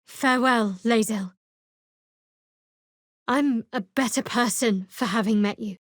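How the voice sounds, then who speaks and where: Guarded young female cleric, video game dialogue, slightly high voice, sardonic, measured, wry, dry studio sound. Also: no echo. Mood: guarded, reflective.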